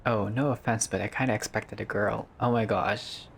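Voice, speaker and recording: A man doing a casual game playthrough, reading dialogue aloud and reacting with expressive, slangy asides. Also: on the low side, moderate pace, creaky, slight background noise. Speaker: gaming video player reading dialogue aloud.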